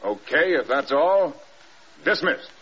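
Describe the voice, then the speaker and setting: An actor playing a stern, demanding American air force general in clipped, blunt classic radio drama style. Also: male voice, on the high side, sing-song, takes it slowly, fairly bright tone, breathy edge, exaggerated voice. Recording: dull, band-limited old radio recording.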